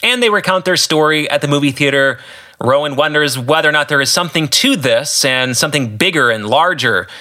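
An American man with a bright, fairly high voice talks as a podcast host. He walks through a story with colloquial asides and emphatic phrasing, his pitch swinging in a lively way. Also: brisk. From United States. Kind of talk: podcast commentary recapping his own story.

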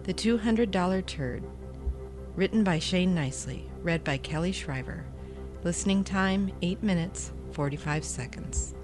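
A woman's voice: on the low side, measured pace, over music. A woman reading a scripted announcement as a podcast host.

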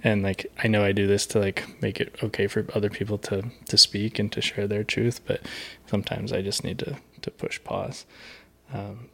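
A man with a fairly low, gravelly voice hosts a casual podcast interview. He talks candidly and loosely, leaning on filler words as he thinks. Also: little pitch movement, moderate pace. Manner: casual, lots of "like", open and candid. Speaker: podcast host.

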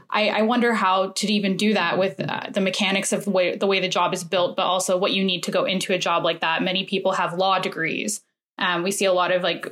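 A woman's voice: mid-range voice, fairly bright tone. A woman co-hosting a podcast, asking a long thoughtful question with frequent fillers. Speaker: podcast co-host. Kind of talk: interview question.

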